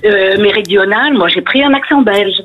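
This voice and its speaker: A woman's voice: mid-range voice, normal speed, phone-quality sound, crowd noise. A woman from Belgium calling a radio show, chatty and cheerful, laughing often. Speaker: radio caller. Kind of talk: phone-in chat.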